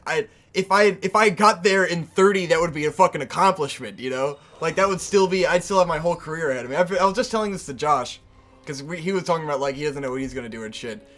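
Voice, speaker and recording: A man in his twenties chatting casually as co-host, animated and laughing easily, swearing for emphasis. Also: a little high, quick pace. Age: 20s. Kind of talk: casual chat.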